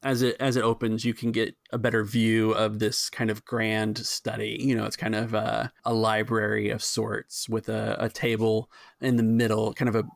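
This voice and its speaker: A man narrates a tabletop game as its game master. His voice is low with plenty of fry, and he improvises in a halting, conversational flow. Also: completely flat delivery, no echo, clean studio sound.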